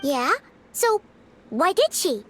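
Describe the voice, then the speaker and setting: Little fairy sidekick, squeaky high, bouncy intonation, chatty, excitable, video game, faint background noise. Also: female voice, slow pace, full character voice. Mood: eager. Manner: perky, refers to self in third person. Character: tiny chatty childlike female fairy companion, nosy and excitable.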